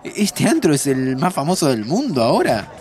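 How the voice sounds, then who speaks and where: A man's voice: on the high side, soft background music. An actor playing a playful, curious time traveller who teases his friend expressively in a Buenos Aires accent, in a fiction podcast. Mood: bemused, excited. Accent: Argentine, Buenos Aires. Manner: teasing, expressive, drawn-out. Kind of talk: naturalistic fiction podcast dialogue.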